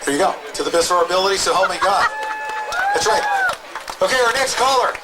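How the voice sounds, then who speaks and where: A man's voice: high-pitched, wildly swooping pitch, a loud crowd, very noisy. A man hosting an outdoor rally, joking and calling up speakers one after another. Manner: upbeat, joking, fast-talking. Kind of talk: live rally emcee chat. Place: outdoor protest crowd.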